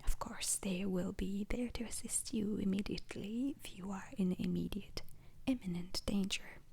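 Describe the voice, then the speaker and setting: A woman playing a calm, formal instructor at a space agency in an ASMR roleplay, whispering slowly and haltingly with a breathy, husky voice. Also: medium pitch. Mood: calm, instructive. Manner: slow, deliberate, halting, formal.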